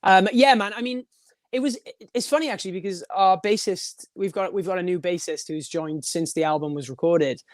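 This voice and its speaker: A young man with a high voice, a band singer talking in a casual podcast interview, rambling with many restarts and little laughs. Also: fairly quick, no echo, clean studio sound. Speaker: guest, band frontman and vocalist.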